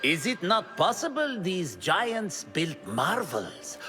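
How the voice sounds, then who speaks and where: An actor playing a grandiose old scholar in a video game, lecturing in a pompous, theatrical, oratorical way. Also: male voice, high voice, big pitch swings, slow pace, over music, heavily acted. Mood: grand, theatrical. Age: older. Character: grandiose old male scholar, self-declared wisest of the wise.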